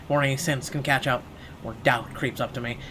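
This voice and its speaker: A man's voice: mid-range voice, fairly even intonation, brisk, pushed and bright, slight background noise. A man playing a horror game, reading its text aloud theatrically and adding sarcastic asides. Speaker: let's player.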